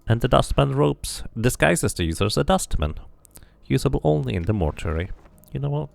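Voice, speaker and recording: A man's voice: medium pitch, very animated, fairly quick, creaky, a little mellow. A man giving casual game commentary, thinking aloud and reading bits of game text out as he plays. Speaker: game streamer doing a playthrough.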